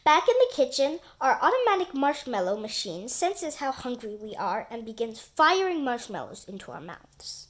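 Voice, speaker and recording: Animated high-pitched woman reading a storybook. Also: moderate pace, few pauses. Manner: expressive, shifts into character voices for dialogue. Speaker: storybook reader. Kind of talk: animated read-aloud of a children's book.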